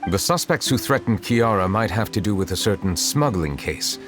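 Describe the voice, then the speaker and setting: Man voicing a very deep, grave, formal magistrate. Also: expressive, music in the background, close to an everyday voice. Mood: composed. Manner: formal, measured, restrained. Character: grave, composed male Chief Justice, formal and dignified. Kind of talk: video game voice acting.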